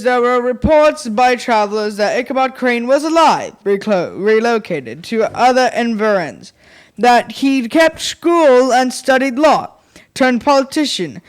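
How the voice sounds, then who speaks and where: A young student narrating a ghostly tale for a school radio play in a formal, dramatic storytelling manner. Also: medium pitch. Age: child or young teen.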